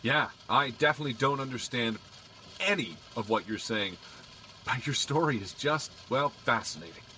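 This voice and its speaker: A man's voice: mid-range voice, expressive, moderate pace, bright, forceful tone, a little hiss or hum. An actor playing a friendly, bemused male police officer in an audio drama.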